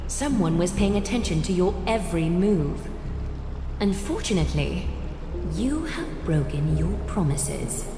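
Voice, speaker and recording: A woman voicing a regal, serene female leader in a video game, speaking formally with measured, commanding poise and a quiet menace. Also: fairly low pitch, animated. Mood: cold, stern. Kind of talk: scripted video game voice acting. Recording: echoey with loud music behind. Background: loud music.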